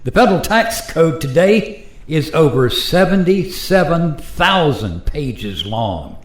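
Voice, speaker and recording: A man's voice: a little high, sing-song, slow. A man playing an easygoing modern airline pilot in a radio play, talking in a casual, explanatory way. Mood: resigned, explaining. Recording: roomy, echoing sound.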